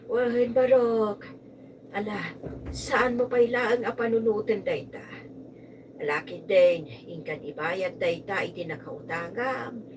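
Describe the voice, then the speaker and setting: A woman with a Filipino accent playing an emotional, expressive mother in a radio drama. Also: mid-range voice, slow pace, lightly acted. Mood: earnest, concerned. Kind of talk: Ilocano radio drama acting. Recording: distant mics, noisy amateur live recording.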